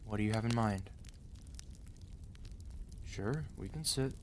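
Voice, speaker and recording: Low-voiced, weary mafia boss played by a man. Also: slow, noisy background. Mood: gentle, teasing. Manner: tired, authoritative, dry, intimate. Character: weary, protective mafia boss husband, commanding yet gentle. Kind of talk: ASMR roleplay acting.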